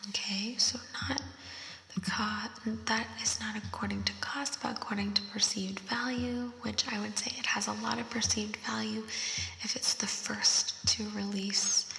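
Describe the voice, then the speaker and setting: A young woman doing ASMR, whispering softly as she reads quiz questions and thinks through them aloud, with a voice effect on her whisper. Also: medium pitch, fairly even intonation, vocal fry. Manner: soft unvoiced whisper, slow and thinking aloud. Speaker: ASMR creator. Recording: roomy echo with long reverb.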